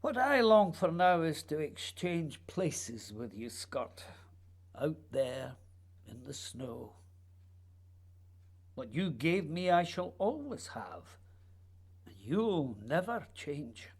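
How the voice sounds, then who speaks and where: A man's voice: a little high, sing-song, slow, long pauses, slightly rough, full character voice. An actor playing a lonely, remorseful playwright mourning his lost friend, in a theatrical, sighing radio drama monologue. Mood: wistful, tender.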